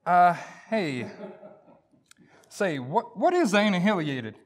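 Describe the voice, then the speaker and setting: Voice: mid-range voice, very animated, slow. An actor playing a stubborn, folksy, blustery husband in an old-time radio comedy. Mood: earnest. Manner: folksy, hesitant, indignant.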